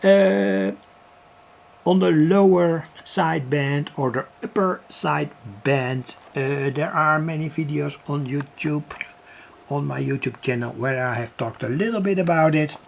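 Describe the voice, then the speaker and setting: A man giving an unscripted hobby radio demonstration, halting and thinking aloud as he works. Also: a little high, gravelly, slight background noise.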